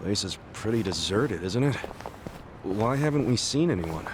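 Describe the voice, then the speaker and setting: A man's voice: lowish voice, expressive, moderate pace, background noise, close to an everyday voice. An actor playing an upbeat, trusting, easily flustered male adventurer in an English video game dub. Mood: uneasy. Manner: eager, stammering when flustered. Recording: music behind dialogue.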